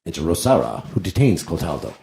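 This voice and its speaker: Very deep creaky male stage-directions reader. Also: very animated, fast talker, dark tone. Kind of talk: plain reading of stage directions. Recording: roomy echo, background noise.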